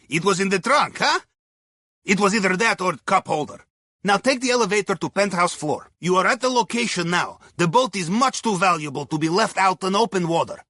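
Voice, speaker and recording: A man's voice: high voice, sharp tone, slightly rough, dry recording, clean studio sound, exaggerated voice. An actor playing a shrewd, genial Russian submarine crewman in a video game, guiding missions in clipped, dryly conspiratorial phrases with a Russian accent. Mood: dryly playful. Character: shrewd, genial male Russian submarine captain's aide and heist planner. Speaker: mission guide over comms.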